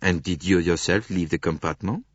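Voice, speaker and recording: A man playing a fastidious older detective, low-voiced and a little husky. He questions politely and keeps everything neat and orderly. The sound is dry and dull. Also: no echo. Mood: probing. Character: fastidious, courteous older male Belgian detective. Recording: dull dry studio recording.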